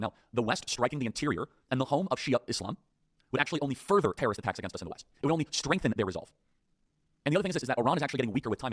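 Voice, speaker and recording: Rapid-fire man delivering a political monologue. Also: medium pitch, dry recording, clean studio sound. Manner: rapid, emphatic, rhetorical questions. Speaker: political talk show host.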